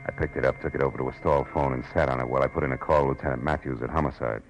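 Low-voiced hard-boiled detective, vintage radio. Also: male voice, fairly even intonation, very fast, lo-fi sound. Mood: grim narration. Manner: terse, sardonic, world-weary. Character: hard-boiled, wry male private detective narrating his case. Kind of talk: 1940s radio detective narration and acting. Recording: vintage radio drama with music.

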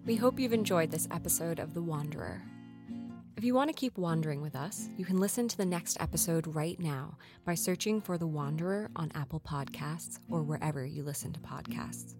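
A woman's voice: sits low, fairly quick. A woman announcer reading scripted closing credits for a podcast. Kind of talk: scripted narration. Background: guitar music.

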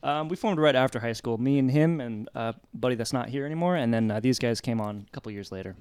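A man's voice: mid-range voice, quick pace, a little mellow, clean tone, no room sound. A man from a band answering interview questions, hesitant and stammering, laughing easily. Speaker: band member.

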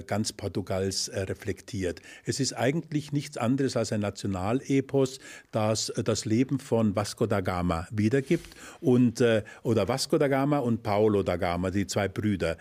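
A man's voice: lowish voice, a little mellow. An older man explaining history in conversation, hesitant with many fillers, in a lecturing way. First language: Spanish-fluent German speaker. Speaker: expert guest.

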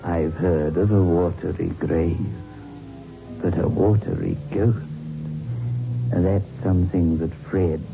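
Creaky, very slow, deep sardonic horror host. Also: male voice, fairly even intonation, full character voice. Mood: ghoulishly amused. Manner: drawling, ghoulishly amused. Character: sardonic, macabre male horror-show narrator, fond of ghoulish puns. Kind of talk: 1960s radio horror narration. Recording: muffled old radio, loud music.

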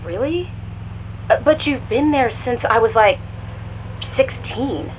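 An actress playing a loyal, blunt younger employee in an audio drama, talking casually and sassily on a call. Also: female voice, fairly high pitch, lively intonation, slow, highs cut off, a little hiss or hum. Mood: baffled, concerned. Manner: casual, sassy. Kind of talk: audio drama phone dialogue.

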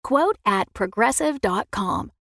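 A woman's voice: mid-range voice, animated, slow pace, vocal fry, fairly bright tone, dry recording, clean studio sound. A woman announcer giving a scripted commercial read. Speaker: advertisement announcer.

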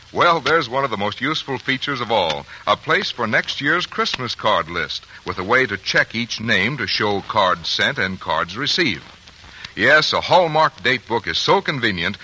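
A man's voice: mid-range voice, pushed and bright, slight background noise, poor audio. A male radio announcer reading a commercial in a friendly, persuasive way. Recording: old radio broadcast.

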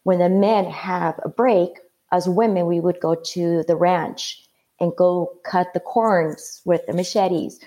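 A woman from Mexico telling her life story as an interview guest, earnest and halting, with many fillers. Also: fairly low pitch, steady tone, dark tone, rough recording.

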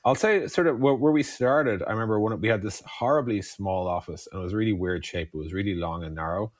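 A man's voice: fairly low pitch, fairly quick, no room sound, studio quality. An Irish man telling startup stories casually as a co-founder in an interview, digressing and peppering his talk with "like" and "you know". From Ireland. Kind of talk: casual conversational storytelling.

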